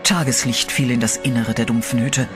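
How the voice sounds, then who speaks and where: A man narrates a horror radio play in a steady, dramatic manner. His voice sits in a middle range, and loud music runs beneath the telling. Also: mid-range voice, moderate pace.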